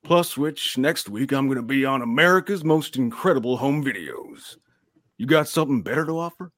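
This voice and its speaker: A man's voice: medium pitch, lively intonation, moderate pace, a bit bright, no echo, clean studio sound, natural voice. A man playing a cocky, beer-loving mutant strongman with a slangy, dismissive manner in a live radio play. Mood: smug, dismissive.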